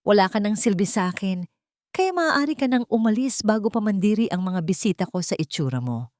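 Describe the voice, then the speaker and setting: A man's voice: high-pitched, sing-song, brisk, a little mellow, no echo, studio quality, pitched above the performer's own voice. A woman voicing a cold, contemptuous husband who scornfully discards his wife in an audio love drama. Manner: scornful, dismissive.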